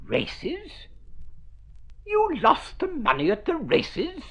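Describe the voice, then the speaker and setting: An actor playing a fussy, formal lawyer in an old radio drama, speaking precisely with proper, hesitant phrasing. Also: male voice, very high-pitched, wildly swooping pitch, slow, bright, forceful tone, full character voice. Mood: shocked, alarmed. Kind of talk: golden-age radio drama acting. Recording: muffled vintage radio recording.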